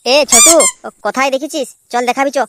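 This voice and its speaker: A performer voicing an excitable, crude petty crook in a slapstick cartoon, exclaiming and repeating words. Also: high-pitched, brisk, background noise, close to an everyday voice. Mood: scheming, eager. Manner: exclamatory, repetitive, swearing, bantering. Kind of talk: comic cartoon dubbing in colloquial dialect.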